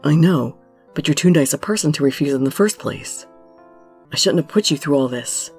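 A woman plays a young male musician in love, from a romance story. His voice sits low and husky, breathy and intense. He pleads earnestly, as if everything depends on being believed. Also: expressive, moderate pace, darkish tone, soft background music, heavily acted. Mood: desperate, earnest. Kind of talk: dramatic romance story reading.

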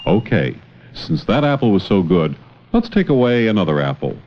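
A man's voice: fairly low pitch, big pitch swings, moderate pace. A man teaching in an educational film, encouraging and full of questions, on an old film soundtrack. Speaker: teacher, narrator. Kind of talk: educational film dialogue with a child. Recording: dull, noisy, clicking old 16mm film soundtrack. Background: clicks and heavy film noise.

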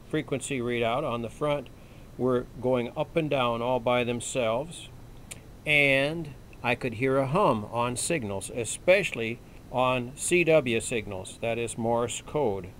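A man giving a casual, unhurried hands-on repair walkthrough, thinking aloud in a folksy way like a hobbyist at his bench. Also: medium pitch, a bit bright, slight background noise. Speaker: electronics hobbyist demonstrating a radio repair. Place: small indoor electronics workbench.

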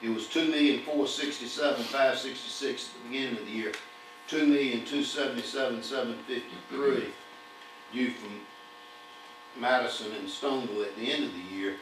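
A man's voice: medium pitch, a bit bright. Older man reading audit figures, echoey hall. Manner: reads figures steadily, with fillers. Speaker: auditor presenting to town council. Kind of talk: formal financial report reading. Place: municipal council chamber. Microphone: podium mic, far camera. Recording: echoey distant room audio with hum.

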